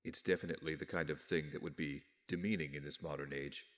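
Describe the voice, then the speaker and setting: A man playing a wounded, despairing young vigilante in an audio drama, speaking in a weak, weary, brooding and halting way. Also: a bass voice, fairly even intonation. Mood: weary, resigned. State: weak, badly wounded (acted). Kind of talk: fan audio drama inner monologue. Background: music.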